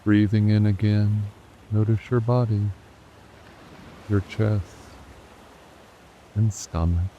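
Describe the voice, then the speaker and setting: A man guiding a sleep meditation in a slow, soothing, hushed way. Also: on the low side, flat delivery, highs cut off, a little hiss or hum. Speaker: meditation guide. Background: soft ocean waves and stream.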